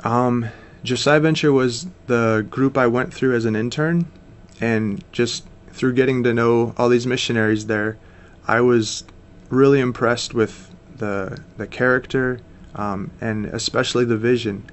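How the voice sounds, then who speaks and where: A man's voice: mid-range voice, steady tone, measured pace, hardly any pauses. An American man in his thirties, a missionary guest, talking earnestly and steadily in a casual interview, with frequent fillers. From United States.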